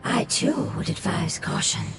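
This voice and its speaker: A woman voicing a small forest creature in a game, speaking in a measured, formal and cautious way. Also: on the low side, lively intonation, over loud music. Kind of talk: game voice acting. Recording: roomy echo.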